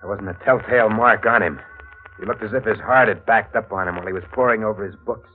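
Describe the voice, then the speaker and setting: An actor playing a hardboiled, scheming drifter in 1940s radio noir, terse and slangy, heard on a muffled old recording. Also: male voice, fairly low pitch, quick pace, lightly acted. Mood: brooding. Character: hardboiled, scheming male drifter narrating his crime.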